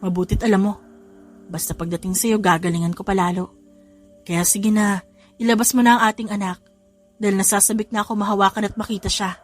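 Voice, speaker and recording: A woman's voice: medium pitch, steady tone, brisk, long pauses, close to an everyday voice. A tender vampire nobleman, a young husband who is earnest and playfully teasing, acted by a woman narrator. The delivery is romantic audio drama, with music playing underneath. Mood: tender, devoted. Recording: music behind the voice.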